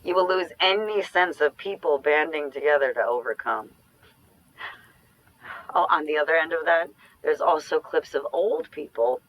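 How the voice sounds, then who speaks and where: A woman's voice: deep voice, moderate pace, no room sound, dull audio. A woman acting an anxious, sardonic ex-girlfriend in a naturalistic audio drama, speaking in a dry, opinionated, conversational way. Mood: exasperated. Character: anxious, sardonic ex-girlfriend living through a zombie outbreak.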